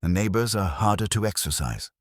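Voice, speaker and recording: A man's voice: fairly low pitch, lively intonation, a little fast, no echo, studio quality, pitched above the performer's own voice, lightly acted. A man voicing a mild, shrewd, unruffled police inspector in an audio mystery, dryly understated. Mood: quietly probing.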